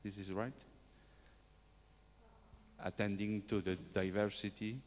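Slow, halting deep-voiced man. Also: little pitch movement, darkish tone. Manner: halting, apologetic, many fillers. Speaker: audience questioner. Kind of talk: question at a conference. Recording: reverberant hall with faint hum.

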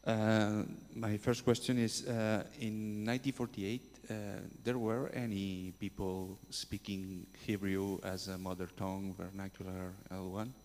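Deep, slow male questioner, hesitant, in a hall with a faint hum. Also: flat delivery, darkish tone. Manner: halting, apologetic, many fillers. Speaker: audience questioner. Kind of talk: question at a conference.

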